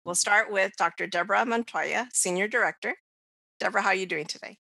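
A woman's voice: mid-range voice, a little fast, vocal fry, pushed and bright, no room sound. A woman from the United States hosting a podcast, giving prepared introductions. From United States, child of Mexican immigrants. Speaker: podcast host.

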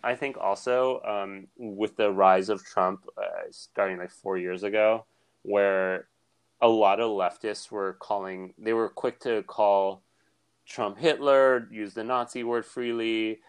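A man's voice: fairly low pitch, steady tone, slow pace, smooth. A young man co-hosting a casual podcast chat, talking earnestly with many restarts and filler words. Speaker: podcast co-host.

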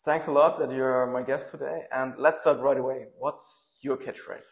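A man hosting a podcast, asking calm, short interview questions. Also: mid-range voice, moderate pace, vocal fry, echoey room. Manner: calm, brief, asks questions. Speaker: podcast host.

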